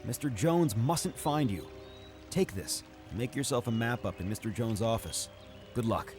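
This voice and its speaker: A man's voice: medium pitch, lively intonation, moderate pace, a little mellow, faint music behind, close to an everyday voice. A man playing an earnest, secretive undead alchemist in a video game, speaking with urgency. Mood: urgent, cautioning. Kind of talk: video game cutscene acting.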